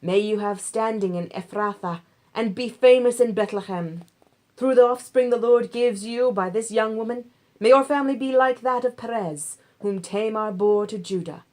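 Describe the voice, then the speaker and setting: A woman's voice: a little high, normal speed, a little mellow, pitched above the performer's own voice, lightly acted. A woman voicing jubilant townsfolk in a Bible storybook, calling out ceremonial blessings in an exclamatory way. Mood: solemn blessing. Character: jubilant biblical townsfolk giving solemn blessings.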